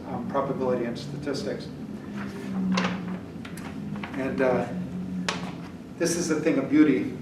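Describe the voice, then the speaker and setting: An older man whose first language is German, lecturing on statistics at a whiteboard in a casual, blunt way that keeps checking in with the class. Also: mid-range voice. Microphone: camera at the back of the room. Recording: echoey classroom from far off, noisy.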